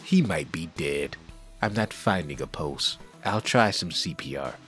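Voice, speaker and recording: Man playing an earnest, deep-voiced detective sidekick. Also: animated, unhurried, fairly bright tone, exaggerated voice. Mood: concerned, shaken. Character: earnest, polite male police detective, the sidekick. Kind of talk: comic book audio drama. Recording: music behind the voice.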